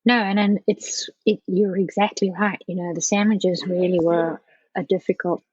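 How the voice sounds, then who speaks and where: A woman from South Africa speaking as an interview guest in a casual, halting way, often circling back with "you know". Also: fairly low pitch, fairly even intonation, a little mellow, breathy edge, no echo, dull audio. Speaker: podcast guest, architecture professional.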